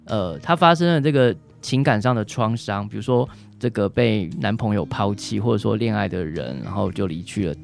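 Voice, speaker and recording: A man's voice: fairly low pitch, expressive, moderate pace, creaky, few pauses. A man with a Taiwanese Mandarin accent, a doctor answering as an interview guest, explaining things in a hesitant way with frequent tag particles.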